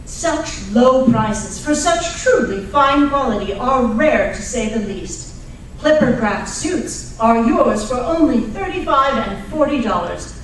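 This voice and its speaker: A very echoey, distant recording of a woman acting a 1940s radio announcer. Her fairly high voice is polished, friendly and salesy, as in an old sponsor's spot. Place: live performance at a radio club event. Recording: roomy, distant live stage recording with hiss.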